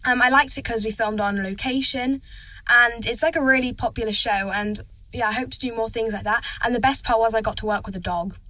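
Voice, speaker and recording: Bright, chatty teenage girl. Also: a little high, fairly even intonation, brisk, dry recording, rough recording. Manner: chatty, eager, says "like" a lot. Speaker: child actor guest. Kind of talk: casual interview chat.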